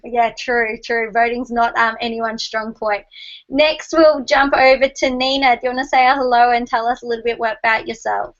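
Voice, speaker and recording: A woman's voice: on the high side, little pitch movement, normal speed. A woman hosting a web show, introducing guests in an upbeat, welcoming way over a video call. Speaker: host. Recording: dull lo-fi video call audio.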